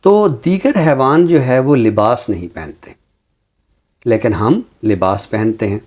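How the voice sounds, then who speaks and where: Man, Pakistani accent, mid pitch, very slow delivery, teacherly, deliberate pauses, reflective interview commentary. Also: expressive, a little mellow. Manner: measured, didactic, explains by examples with deliberate pauses. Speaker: teacher and programme guest.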